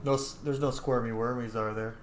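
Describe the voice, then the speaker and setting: A man's voice: medium pitch, steady tone, a little fast, very noisy. A man giving casual, jokey let's-play commentary as he roleplays through a video game. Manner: playful, jokey. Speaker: gameplay streamer. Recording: roomy echo, game music behind.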